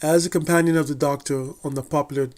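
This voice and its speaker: A man reading a scripted TV review in a measured, deliberate way, with the odd slip and restart. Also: on the high side, steady tone, a little mellow. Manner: measured, deliberate delivery with occasional slips and restarts. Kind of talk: scripted review read aloud.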